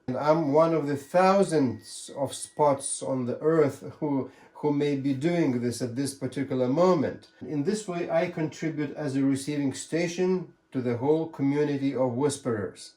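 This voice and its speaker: A man presenting a ham radio hobby demonstration, explaining things in a deliberate, methodical way. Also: a little high, warm tone.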